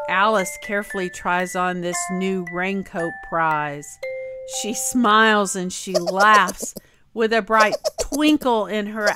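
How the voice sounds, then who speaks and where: A woman reading a rhyming storybook aloud for children in an expressive, sing-song way. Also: medium pitch. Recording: music playing behind the voice. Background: clear background music.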